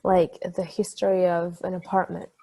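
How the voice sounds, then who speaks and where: An affectionate, playful and brainy girlfriend played by a woman chats in a natural, gently teasing way, as in a stage drama. Her voice is fairly low and very soft-edged. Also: little pitch movement, moderate pace, gravelly. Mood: casual, playful. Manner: casual, conversational, gently teasing. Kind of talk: conversational table-read acting.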